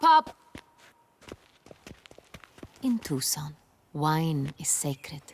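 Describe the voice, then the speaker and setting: A woman's voice: low-pitched, creaky, slight background noise, full character voice. A woman playing a proud, imperious duchess in a video game, formal and commanding in manner. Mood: haughty.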